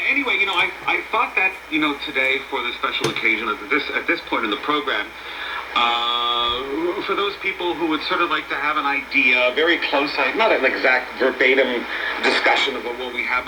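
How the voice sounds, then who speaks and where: A man's voice: mid-range voice, echoey room, noisy background. A man hosting an informal radio show in a chatty, playful way, often repeating himself, heard on a shortwave broadcast.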